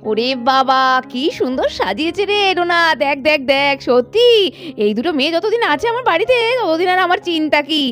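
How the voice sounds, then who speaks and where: Squeaky-high young household woman, voiced by an actress, fast, chatty, bustling, doll cartoon, faint music. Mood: cheerful bustle. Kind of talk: children's doll-story cartoon voice acting.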